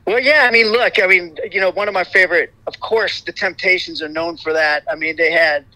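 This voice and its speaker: A middle-aged man talks casually as an interview guest. His voice sits high and sounds very bright and cutting, and it stays light all the way through. The recording is dry and close, with no room sound behind him. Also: brisk, no room sound, low-quality recording. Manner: rambling, many "you know" fillers and restarts. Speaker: interview guest, singer in a vocal group.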